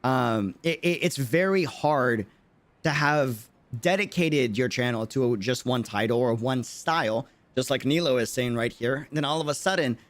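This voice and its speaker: A man's voice: medium pitch, moderate pace, dry recording. A man giving casual livestream game commentary, earnest and slangy, often repeating himself for emphasis. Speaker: streamer.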